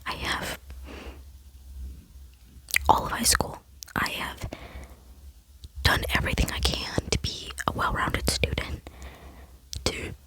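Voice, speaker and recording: A woman's voice: slow, long pauses, poor audio. Whispering teen girl, earnest and rambling. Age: late teens. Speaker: ASMR vlogger. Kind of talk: whispered ASMR chat.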